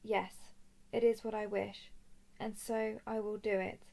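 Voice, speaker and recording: A woman playing a young royal dragon in a roleplay audio drama, speaking formally, softly and deliberately as a composed, curious captor. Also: medium pitch, flat delivery, vocal fry. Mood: wry, composed. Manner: formal, deliberate, soft-spoken.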